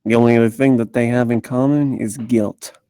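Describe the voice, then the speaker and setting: A man's voice: medium pitch, steady tone, a little fast, mellow, clean tone, no room sound, clean studio sound, lightly acted. Man playing a brooding, guilt-ridden noir narrator.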